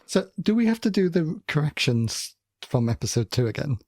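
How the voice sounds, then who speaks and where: A man's voice: fairly high pitch, big pitch swings, normal speed, darkish tone, no room sound. A man from Wales with a Welsh accent, co-hosting a casual podcast and rambling with dry humour and frequent self-corrections. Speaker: podcast co-host. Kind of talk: casual chat.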